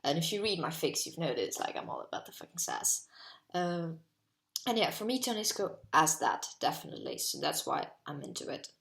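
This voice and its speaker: A woman's voice: low voice, wildly swooping pitch, brisk, creaky, fairly bright tone, reverberant. A 19-year-old woman from Portugal with a Portuguese accent, chatting casually and rambling to camera from her bedroom, full of "like". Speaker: vlogger.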